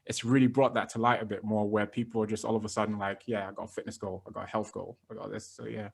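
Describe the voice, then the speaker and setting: A young man hosting a podcast as a fitness coach, chatting in a relaxed, loose way with lots of fillers and restarts. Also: lowish voice, quick pace, vocal fry, husky. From based in London. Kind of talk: casual interview chat.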